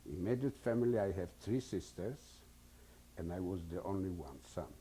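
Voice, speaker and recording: A man in his seventies from Poland with a Polish accent, giving oral history testimony slowly and hesitantly, often searching for English words. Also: low voice, warm tone, breathy edge. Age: 70s. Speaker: interviewee.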